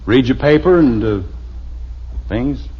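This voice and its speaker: An actor playing a grumbling but soft-hearted father in an old-time radio comedy, with a dry, hesitant delivery. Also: mid-range voice, animated, takes it slowly, breathy edge. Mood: restless, wistful. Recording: muffled, noisy old radio recording.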